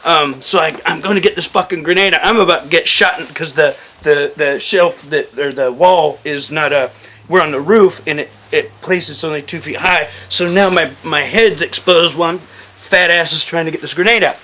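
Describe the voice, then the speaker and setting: Guy vet telling war stories, sharp kinda high voice, swears a ton, does the dialogue as he goes. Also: moderate pace, slight background noise. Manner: animated, swearing freely, acting out quoted dialogue. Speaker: military veteran storyteller. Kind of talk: casual storytelling, profane.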